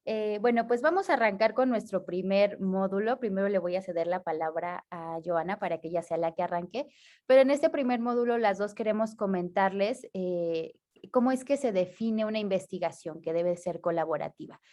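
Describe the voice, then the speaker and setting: A woman's voice: mid-range voice, a little mellow. Upbeat Mexican woman hosting a workshop. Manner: upbeat, brisk. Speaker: workshop host. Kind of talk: moderating.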